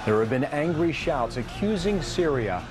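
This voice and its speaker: A woman's voice: low-pitched, sing-song, normal speed. A woman delivering a broadcast news report as a reporter. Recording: music under the voice. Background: music.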